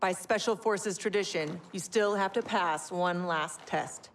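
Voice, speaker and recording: An actress voicing a tough female military general who talks in a crisp, authoritative way. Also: on the low side, measured pace. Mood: formal. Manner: crisp, commanding military address. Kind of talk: video game cutscene acting. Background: orchestral game score.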